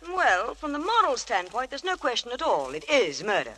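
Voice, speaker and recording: A woman's voice: fairly high pitch, normal speed, heavily acted. An actress playing a chatty, keen woman mystery novelist in an effusive 1940s radio drama style. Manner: effusive, animated. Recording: old radio broadcast recording.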